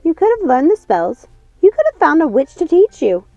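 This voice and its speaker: A woman voicing an imperious wicked witch in a storybook, scolding and commanding in a sharp manner. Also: sits high, big pitch swings, moderate pace, no echo, voice pushed up. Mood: blaming. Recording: dull lo-fi sound, music underneath.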